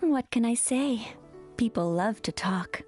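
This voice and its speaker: Coy, high-voiced flirtatious perfume maker. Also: female voice, lively intonation, a little slow, a little mellow, soft background music. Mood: coy, teasing. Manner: coy, teasing, drawling. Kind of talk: video game character acting.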